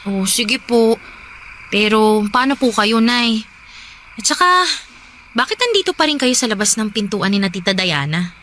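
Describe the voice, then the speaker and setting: An actress playing a dutiful, respectful grown child in a Filipino radio drama, polite and soft-spoken. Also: female voice, fairly high pitch, expressive, normal speed, pauses often, a little hiss or hum. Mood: apologetic. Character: dutiful, respectful, concerned child talking to mother. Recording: music behind.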